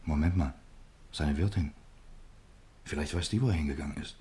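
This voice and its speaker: An actor playing an anxious, conscientious young man in a radio play, pleading urgently and haltingly, but politely. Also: rumbling low, warm tone, roomy sound, slight background noise. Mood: agitated, pleading. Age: young adult. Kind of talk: German radio play acting.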